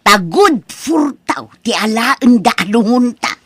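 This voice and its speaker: A woman with an Ilocano accent playing a vain, chatty elderly village mother in a comedy audio drama, animated and folksy in manner. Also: a little high, measured pace, breathy edge, dry recording, heavily acted. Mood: chatty, matter-of-fact.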